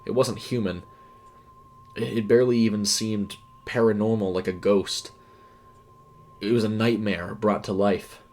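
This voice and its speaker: Guy reading a scary story, lowish voice, soft music underneath. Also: fairly even intonation, measured pace, pauses often. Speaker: narrator and voice performer. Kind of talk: scripted horror story narration.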